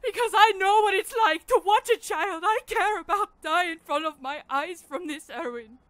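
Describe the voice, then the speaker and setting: A woman's voice: very high voice, moderate pace, a bit bright, dry recording. A woman playing a fiercely protective, grieving mother in a survivor camp drama, confrontational and emotional. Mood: anguished anger.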